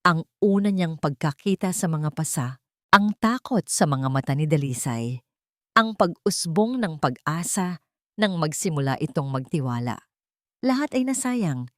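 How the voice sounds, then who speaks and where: A woman with a Filipino accent reading a dramatic romance story in a measured, solemn way. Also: on the low side, no echo, clean studio sound. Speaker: story narrator.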